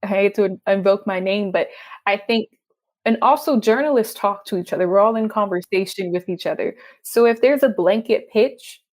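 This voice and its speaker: A woman's voice: medium pitch, normal speed, darkish tone. A young adult woman from Texas with a Texan accent, a freelance writer chatting animatedly and laughing often in an interview. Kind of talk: conversational interview.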